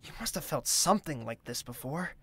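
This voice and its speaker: A woman playing a conflicted, earnest, loyal friend in an audio drama, with a husky voice. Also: low voice, expressive, a little fast, fairly bright tone, heavily acted.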